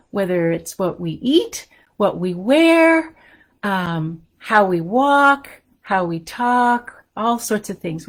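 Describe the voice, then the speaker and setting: A woman with a medium-pitched voice hosts a story time for young children. She moves between reading aloud and casual chat, keeping her phrasing simple and encouraging.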